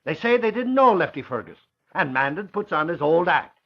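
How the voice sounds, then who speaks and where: An actor playing an excitable, slangy newspaper reporter in 1940s radio drama style. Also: male voice, on the high side, brisk, vocal fry, full character voice. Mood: indignant. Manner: exclamatory, slangy, indignant. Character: blustery, excitable newspaper reporter tipping off his boss. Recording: muffled old radio recording.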